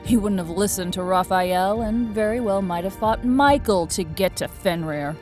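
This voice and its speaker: Woman playing earnest Norse goddess, medium-pitched, firm, pragmatic, audio drama, clear music bed. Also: normal speed, darkish tone, natural voice. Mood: candid, firm. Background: background music.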